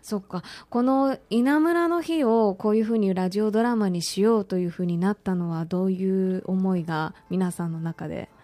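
A woman with a medium-pitched voice conducts a conversational radio interview. She responds often and attentively as she listens. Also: normal speed, few pauses, warm tone. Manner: frequent attentive backchannels.

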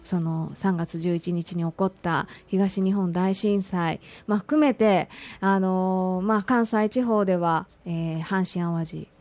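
A woman's voice: lowish voice, steady tone, slow pace, hardly any pauses, darkish tone. A woman co-hosting a radio show, introducing it politely in short, hesitant phrases. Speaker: radio co-host.

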